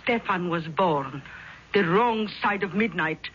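A woman's voice: lowish voice, expressive, slow, fairly bright tone. An actress playing a grave, superstitious immigrant mother in a 1970s radio drama, fretful and formal. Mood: ominous. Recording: dull, faintly noisy 1970s radio recording.

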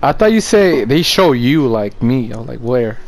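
A man's voice: mid-range voice, animated, normal speed. A man reacting and laughing with friends over game chat. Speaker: gamer in party chat. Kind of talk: casual banter.

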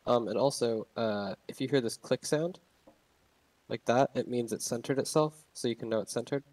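A man's voice: medium pitch, moderate pace, darkish tone, no echo. A young man casually explaining a game's sailing controls, rambling with many fillers and restarts. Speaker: game tutorial narrator. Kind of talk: casual gameplay tutorial.

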